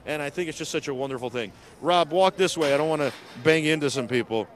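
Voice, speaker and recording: A man's voice: a little high, fast talker. Upbeat man hosting a live stream. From New York City. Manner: chatty, upbeat, many fillers. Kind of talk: casual live walk-around coverage. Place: outdoors in a city park.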